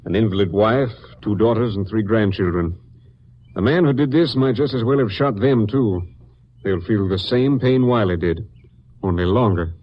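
An actor playing a grave, weary Texas Ranger captain, somber and matter-of-fact, in an old radio crime drama. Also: male voice, on the low side, normal speed, natural voice. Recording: muffled, noisy old radio recording.

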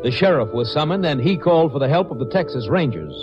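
An actor playing a solemn narrator, reading scripted narration in an old radio crime drama. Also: male voice, fairly high pitch, lively intonation, fast talker, natural voice. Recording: muffled old radio recording. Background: prominent music.

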